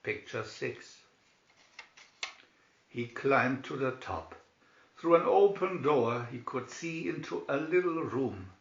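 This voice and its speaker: A man reading a fairy tale aloud as a scripted narration, in a deliberate manner. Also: mid-range voice, expressive, gravelly. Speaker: storybook reader. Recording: dull, roomy amateur recording.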